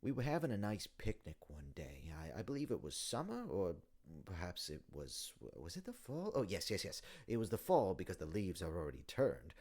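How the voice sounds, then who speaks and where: Deep-voiced, rambling old hippo. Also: male voice, huge pitch swings, normal speed, a little mellow, full character voice. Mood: nostalgic, musing. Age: older. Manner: hesitant, stammering, long-winded rambling. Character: rambling, melancholy elderly male hippopotamus, slow and wistful. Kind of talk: video game character monologue.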